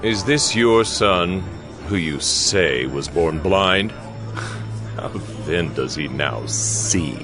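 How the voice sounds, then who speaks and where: A man acting a stern, scornful Pharisee interrogator in a dramatized audio Bible, haughty and drawn-out. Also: deep voice, lively intonation, music in the background, exaggerated voice. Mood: skeptical.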